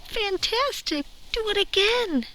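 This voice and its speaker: A woman's voice: animated, takes it slowly, bright, forceful tone, heavily acted, poor audio. A woman voicing a bold, determined, clever young storybook princess with emphatic delivery. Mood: excited flattery. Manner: high, emphatic, exclaiming delivery. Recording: noticeable background noise.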